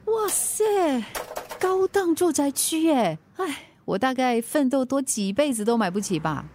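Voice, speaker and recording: Cheerful, high-voiced Singaporean delivery rider. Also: female voice, sing-song, a little slow, darkish tone, a little hiss or hum. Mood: upbeat. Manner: chatty, mixes English words into Mandarin. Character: cheerful helpful food delivery rider, a mother. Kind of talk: naturalistic Mandarin radio drama acting.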